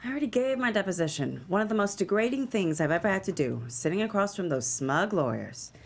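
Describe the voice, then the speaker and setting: Actress as proud, creaky-voiced woman mogul, lively delivery. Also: medium pitch, quick pace, a little hiss or hum. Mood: indignant. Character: proud, resentful female media mogul, defiant under pressure. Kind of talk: table read of a screenplay, dramatic dialogue.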